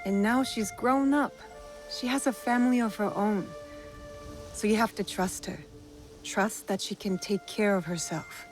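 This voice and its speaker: An actress playing a calm, resolute young woman investigator in a video game drama, speaking in a measured, firm way. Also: mid-range voice, natural voice. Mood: gentle, firm. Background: background music.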